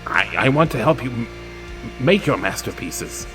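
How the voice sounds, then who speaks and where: A man playing a guarded, earnest friend who pleads in a halting, sombre way in a tabletop roleplay. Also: mid-range voice, expressive, fairly bright tone, soft background music.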